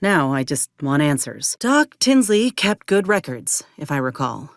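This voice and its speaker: A woman's voice: sits low, very animated, measured pace, no echo, clean studio sound, full character voice. An actress playing an earnest, determined young woman soldier with folksy rural turns of phrase in a video game. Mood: practical. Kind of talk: video game character dialogue.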